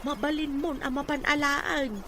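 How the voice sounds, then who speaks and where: A woman's voice: high voice, unhurried. A woman acting a friendly older village neighbour in a radio drama, with an Ilocano accent. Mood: chatty, helpful. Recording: clearly noisy ambience behind.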